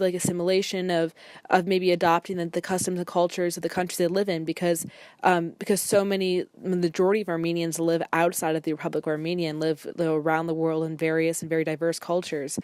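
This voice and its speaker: A young woman presents her student project in a slightly low voice, relaxed and loose, with plenty of "kind of" and "like". The sound is dry and close, with no room in it. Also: no room sound. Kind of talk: casual spoken report.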